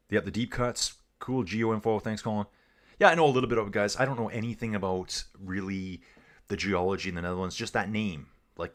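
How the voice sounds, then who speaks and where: A chatty man with a lowish voice runs a livestream race commentary. He reads viewer messages aloud and riffs casually, calling everyone guys and dude. His tone is loose and conversational throughout. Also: fairly bright tone. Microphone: earbuds.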